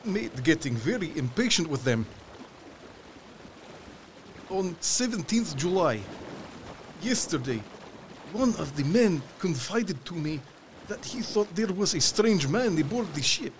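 Male actor as worried ship's captain, high swooping voice, grave log entries, radio-play style, faint water ambience. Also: very animated, a little slow, long pauses, a little hiss or hum. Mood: uneasy. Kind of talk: dramatised log reading, clipped telegraphic phrasing.

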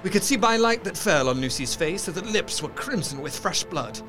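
A man playing an earnest, haunted Victorian gentleman, narrating a horror drama in a measured, literary and expressive way. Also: sits high. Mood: horrified. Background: music.

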